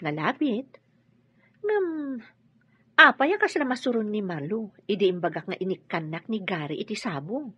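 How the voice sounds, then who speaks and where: A woman's voice: medium pitch, sing-song, normal speed, breathy edge, dry recording. A woman playing a playful, curious young unmarried lady boss in a radio drama. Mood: curious, puzzled. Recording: dull lo-fi radio sound.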